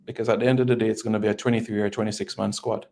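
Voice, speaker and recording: A man's voice: lowish voice, level tone, rapid-fire, a little mellow. A man from Jamaica, a regular football analyst on a talk show, answering in a firm, matter-of-fact way. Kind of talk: sports talk show discussion.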